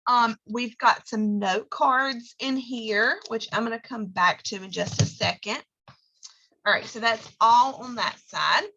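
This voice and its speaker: A hoarse woman, giving a chatty how-to talk and often checking in with "okay?". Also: on the high side, measured pace, sharp tone, highs cut off. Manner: chatty, lots of fillers and "okay?" check-ins. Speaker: direct sales consultant.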